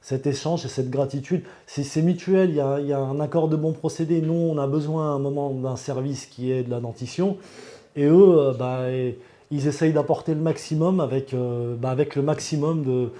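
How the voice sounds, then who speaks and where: A man's voice: fairly high pitch, normal speed, dark tone. A man, giving an unscripted testimonial as a patient in an earnest, emphatic way. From Lyon, France. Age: 40s.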